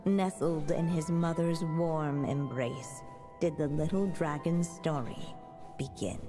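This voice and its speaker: A woman's voice: low-pitched, fairly even intonation, over music. A woman voicing a tender, solemn witch mother who narrates a fairy tale in a video game. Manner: slow, tender, solemn storytelling.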